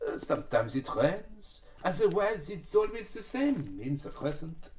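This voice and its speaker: A man playing a defensive, evasive crook and quarrelling lover in a radio drama, with an excitable manner. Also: sits high, a little slow. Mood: guarded.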